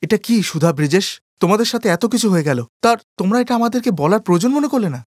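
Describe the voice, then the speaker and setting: A man playing a well-off, demanding city brother-in-law in a cartoon story, assertive and expressive. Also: sits high, fast talker, no room sound, studio quality, voice pushed up. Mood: firm. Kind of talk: cartoon moral story acting.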